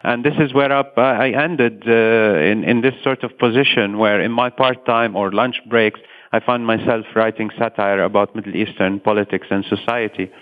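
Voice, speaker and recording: Lebanese man guest, thoughtful, halting; phone-line audio. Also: on the low side, steady tone. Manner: thoughtful, hesitant with fillers and restarts. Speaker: guest, satirist. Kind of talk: casual interview talk. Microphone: remote phone or call line.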